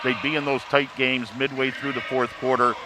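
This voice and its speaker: A man's voice: mid-range voice, level tone, a little fast. A man giving sports analysis on a live broadcast in fluent, upbeat announcer phrasing. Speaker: play-by-play announcer and sports panelist. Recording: clear background noise.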